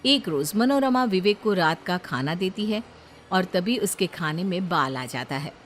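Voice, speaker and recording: A woman's voice: lowish voice, lively intonation, measured pace, faint music behind. A woman narrator reading a scripted moral story.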